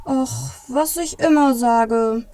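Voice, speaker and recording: A woman playing a slow-witted, cookie-loving male Christmas elf in a children's audio play, naive and plodding. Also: high-pitched, dark tone, dry recording. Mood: simple, deadpan.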